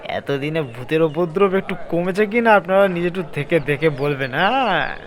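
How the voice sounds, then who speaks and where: A man's voice: fairly high pitch, lively intonation, moderate pace, lightly acted. An actor playing an obliging but cautious local man in a horror audio story, politely offering reluctant advice.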